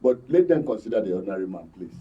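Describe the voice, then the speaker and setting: A Nigerian man giving emphatic, halting current affairs commentary as a panel guest, often repeating his words. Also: lowish voice, big pitch swings. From Benue, Nigeria. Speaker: studio guest, journalist commentator. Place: broadcast studio panel. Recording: distant mic, dull muffled audio.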